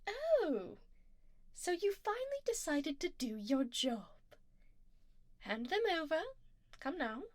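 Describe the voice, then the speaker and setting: A woman's voice: sits high, sing-song, takes it slowly, long pauses, no echo, studio quality, heavily acted. A woman playing a haughty, doting vampire duchess in an intimate ASMR roleplay, imperious and refined, often slipping toward a whisper. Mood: curt, commanding. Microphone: close binaural microphone.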